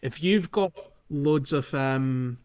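A man speaks as a podcast co-host in loose, casual gaming chat. He sits in a middling register and often feels his way through a thought out loud, hesitating as he goes. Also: mid-range voice, slow, smooth, lo-fi sound.

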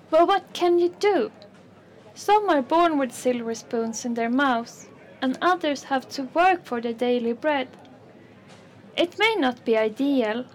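A meek, slow-talking working woman. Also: sits high, a little mellow. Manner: mild, questioning and placid. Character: meek working-class woman, resigned and pious. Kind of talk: radio play acting.

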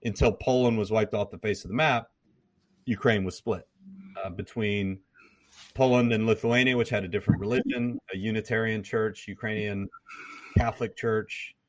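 A man explains history as a podcast guest, lecturing steadily. His voice sits a little low, and he often restarts and repeats words mid-thought. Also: steady tone, normal speed, poor audio. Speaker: guest, former troop commander and history professor. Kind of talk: explanatory interview talk.

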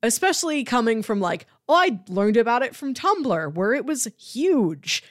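A woman's voice: a little high, sing-song, normal speed, no room sound, studio quality. A woman co-hosting a podcast, chatting casually and earnestly about television with emphatic, discursive phrasing. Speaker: podcast co-host. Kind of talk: casual chat discussing a TV series.

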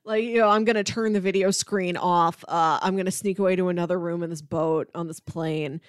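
A woman's voice: on the low side, steady tone, fairly quick, clean tone, no echo, studio quality. A woman co-hosting a podcast, chatting casually about movies in an informal way, often dropping in "like". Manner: informal, peppers speech with "like". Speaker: podcast co-host.

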